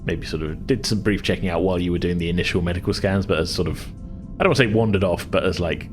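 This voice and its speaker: Low-voiced man game-mastering over music. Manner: halting, chatty, explanatory. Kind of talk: improvised tabletop game narration. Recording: music playing behind the voice. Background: background music.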